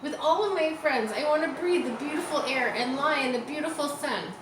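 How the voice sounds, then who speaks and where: A woman's voice: sits high, normal speed, reverberant, far from the mic, background noise, voice pushed up, lightly acted. A woman voicing an innocent, dramatic young male pig in a storybook, with a pleading, wailing manner. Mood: panicked, wailing.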